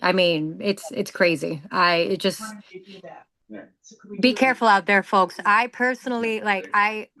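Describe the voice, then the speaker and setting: A woman's voice: medium pitch, fairly even intonation, unhurried, pushed and bright. A woman from the Dominican Republic chatting casually in a group, hedging and hesitant as she goes.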